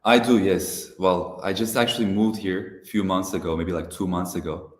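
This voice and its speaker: A young man from Turkey chats as a guest on a live stream, relaxed and unhurried, filling pauses with "uh". His voice sits very low. The video-call audio carries a noticeable room echo. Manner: relaxed, hesitant, many "uh" fillers. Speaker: guest, software founder. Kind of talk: casual interview over a live stream.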